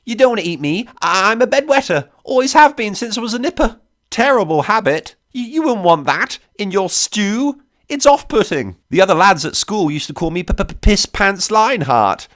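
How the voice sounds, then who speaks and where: A man voicing a cowardly British gentleman soldier who stammers and pleads with a cracking voice in an audiobook story. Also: sits high, very animated, moderate pace, pitched above the performer's own voice. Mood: terrified pleading.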